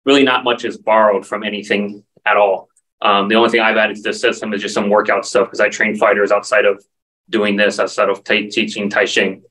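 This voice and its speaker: A man's voice: on the low side, level tone, fairly quick, bright, forceful tone. Man on a distant video call. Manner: earnest, explanatory, lists terms steadily. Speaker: martial arts instructor, guest. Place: dim indoor room. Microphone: video call, standing back from camera.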